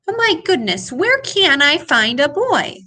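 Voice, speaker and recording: A woman's voice: high-pitched, very animated, unhurried, pushed and bright, voice pushed up, full character voice. A woman voicing an eager, wishful and very polite little male puppy in a storybook. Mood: wondering, hopeful.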